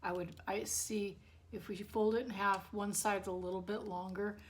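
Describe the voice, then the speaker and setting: A woman with a hoarse voice, walking viewers through a sewing project in her home studio. She talks in a chatty, informal way and corrects herself as she works. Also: mid-range voice, flat delivery, moderate pace, a little hiss or hum. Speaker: bag-sewing tutorial host. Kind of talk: casual sewing demonstration.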